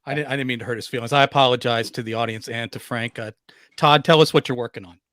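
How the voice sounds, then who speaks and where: Male podcast guest, crisp forward tone, medium pitch, close dry sound, playful ribbing and self-mockery. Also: fairly even intonation, fast talker, bright, forceful tone, no room sound. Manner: joking, teasing, self-deprecating. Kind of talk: casual podcast banter.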